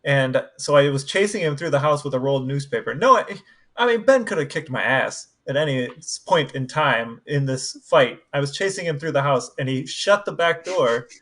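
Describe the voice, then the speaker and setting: A man's voice: medium pitch, animated, moderate pace, a bit bright. A man co-hosting a podcast, chatting casually and anecdotally. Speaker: podcast co-host.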